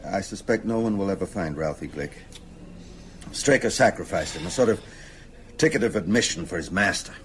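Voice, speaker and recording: An actor playing an earnest, worried older high school teacher in a radio drama, speaking gravely and deliberately. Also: male voice, expressive, a little hiss or hum. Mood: sombre, worried.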